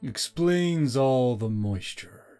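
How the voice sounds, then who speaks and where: Man acting as metal robot, male, slow, formal, deadpan, gently teasing, mellow tone, audiobook character. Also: medium pitch, clear, resonant tone, faint music behind. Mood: calm, deadpan.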